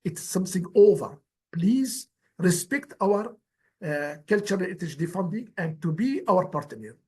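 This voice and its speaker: Guest speaker: man, native Kurdish speaker, husky high voice, earnest and halting. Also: big pitch swings, darkish tone. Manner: earnest, pleading, halting phrasing. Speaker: guest speaker, former heritage director. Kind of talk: formal presentation in non-native English.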